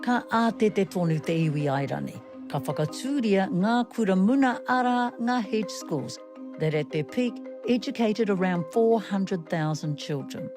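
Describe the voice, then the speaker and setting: A woman's voice: medium pitch, expressive, normal speed. Wry woman news reporter over music. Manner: wry, switches between English and Māori. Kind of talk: scripted news narration and interviewing. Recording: music bed behind narration.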